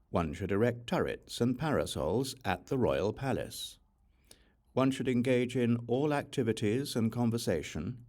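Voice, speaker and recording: Male audiobook reader for scripture: low register, highly varied intonation, solemn measured phrasing. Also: darkish tone. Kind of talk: scripted reading of an ancient Buddhist text.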